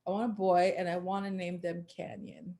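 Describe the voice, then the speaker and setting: A woman's voice: on the low side, flat delivery, moderate pace, mellow. A woman in her late twenties hosting a casual interview, talking openly and candidly and laughing often. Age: 20s. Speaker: interview host. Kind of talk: casual chat.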